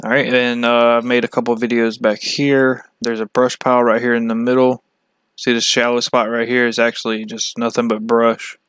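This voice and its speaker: A man from the United States giving a casual, halting, matter-of-fact walkthrough as a fishing channel host. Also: medium pitch, monotone, fairly bright tone, clean tone, dry recording. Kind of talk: casual screen-share map walkthrough.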